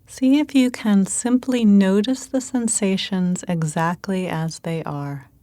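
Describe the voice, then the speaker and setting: Slow, soothing woman guiding meditation. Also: medium pitch, no room sound. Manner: calm, gentle, unhurried instruction with pauses. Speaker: meditation guide. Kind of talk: guided meditation narration.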